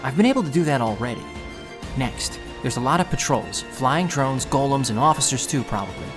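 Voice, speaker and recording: Audio drama lead male actor: resolute young street thief, narration and dialogue, expressive intonation, music underneath. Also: mid-range voice, a little fast, lightly acted. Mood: narrating, tense.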